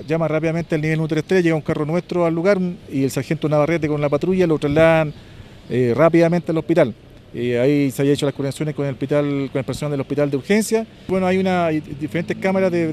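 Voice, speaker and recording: A middle-aged man with a Chilean accent, a police officer giving a halting press statement on a street, repeating words as he explains. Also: fairly high pitch, a little mellow, a little hiss or hum. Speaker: police lieutenant colonel. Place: outdoors on a street.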